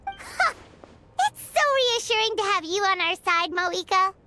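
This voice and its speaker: A woman's voice: sing-song, measured pace, sharp tone, full character voice. A woman voicing a tiny, eager female fairy companion in a video game, who talks about herself in the third person. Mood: chirpy. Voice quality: high squeaky childlike voice. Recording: game audio with music behind.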